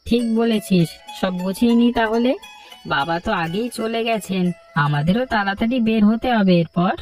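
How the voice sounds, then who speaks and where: A woman's voice: medium pitch, moderate pace, darkish tone, recorded from a distance, faint music behind, lightly acted. A woman voicing a friendly, agreeable villager in a cartoon story. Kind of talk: Bengali cartoon story dialogue.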